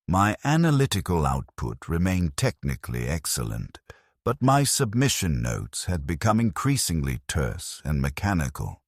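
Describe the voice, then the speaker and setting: Very deep, husky male narrator. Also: dry recording, studio quality. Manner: grave, measured, brooding. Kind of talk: scripted first-person story narration.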